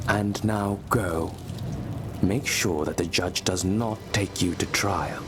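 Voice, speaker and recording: An actor playing a grave, weary observer of a holy order in a video game, formal, measured and wry. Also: male voice, low voice. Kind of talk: video game cutscene acting. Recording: loud ambient noise behind.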